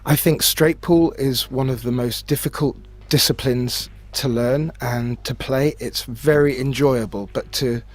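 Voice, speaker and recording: A man giving conversational live pool commentary in measured phrases, often repeating a word as he thinks. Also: medium pitch, fairly even intonation. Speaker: sports commentator. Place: remote commentary from his own location.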